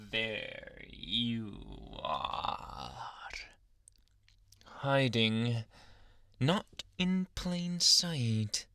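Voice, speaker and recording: Man acting as male alpha werewolf, gravelly fry, teasing yet polite, hesitant murmured asides, ASMR roleplay, very dry close mic. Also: medium pitch, expressive, sharp tone, dry recording, heavily acted. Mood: stalking, teasing. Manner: halting, breathy sighs, murmured asides and hesitations. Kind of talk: intimate ASMR boyfriend roleplay. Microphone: close, dry microphone.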